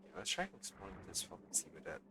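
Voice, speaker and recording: A man's voice: sits low, big pitch swings, fast talker, noisy background. A man streaming a game live with casual, offhand commentary and muttered reactions. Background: game music.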